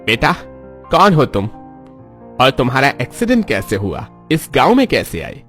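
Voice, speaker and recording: A man playing a kindly elderly village priest in a dramatized moral story, speaking in a fatherly, grave and expressive way. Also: mid-range voice, moderate pace, faint music behind. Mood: gentle, worried.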